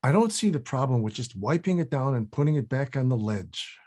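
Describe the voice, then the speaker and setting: A man's voice: mid-range voice, animated, fairly quick, a little mellow, close to an everyday voice. An actor playing a grumpy, defensive older man in a comedy table read, speaking in a blunt, grumbling, sweary manner. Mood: uneasy.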